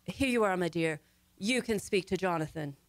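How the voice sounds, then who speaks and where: A woman's voice: fairly low pitch, animated, moderate pace, background noise. An actress playing a bossy, scolding married woman in an old-time radio comedy, speaking imperiously. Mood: bossy, fussy. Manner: imperious, insistent.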